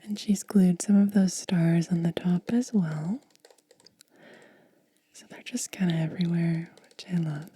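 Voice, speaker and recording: A woman whispering softly and gently in an unhurried ASMR style, close to the microphone, pausing between short phrases. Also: lowish voice, steady tone. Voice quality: breathy, mostly unvoiced whisper. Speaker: ASMR host. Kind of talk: ASMR unboxing, hushed and soft-spoken.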